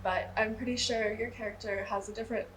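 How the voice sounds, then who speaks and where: A woman's voice: fairly high pitch, steady tone, brisk, a little hiss or hum, rough recording. A young woman, a student actor, chatting casually as she is interviewed. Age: young adult.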